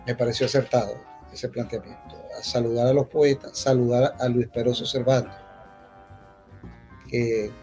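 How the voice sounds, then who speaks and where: A Venezuelan-accented man giving an informal ceremonial address, haltingly, with pauses and repeated words. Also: medium pitch, little pitch movement, warm tone, soft background music. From Maracaibo, Venezuela. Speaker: poet speaking for a university. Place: theatre stage at a public event.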